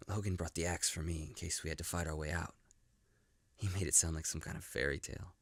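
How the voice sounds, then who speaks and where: A man playing an earnest, loyal young man in an animated adventure. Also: very deep voice, fast talker, no echo. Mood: urgent, puzzled.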